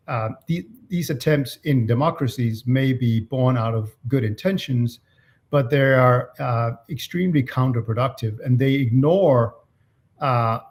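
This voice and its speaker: A man giving thoughtful, halting, argumentative interview answers as an author. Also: mid-range voice, darkish tone. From Denmark. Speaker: author and free speech advocate being interviewed.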